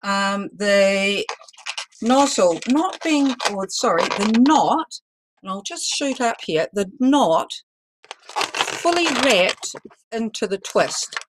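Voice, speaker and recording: A woman teaching a live balloon-twisting lesson, talking loudly and chattily with some self-deprecating humor. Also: medium pitch, expressive, slow pace, background noise. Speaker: balloon artist teaching a workshop. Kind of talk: live online demonstration lesson.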